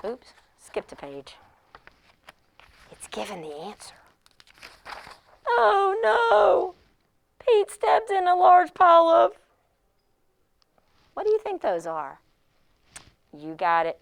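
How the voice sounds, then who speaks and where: Woman, pre-K teacher, very lively intonation, unhurried pace, expressive read-aloud delivery for young children. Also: very high voice, gravelly.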